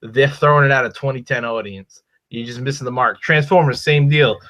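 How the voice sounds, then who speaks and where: Man, Boston accent, podcast host, bright tense voice, emphatic, swears freely. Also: medium pitch, a little fast. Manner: animated, profane, emphatic opinions. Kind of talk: casual chat about movies and toys.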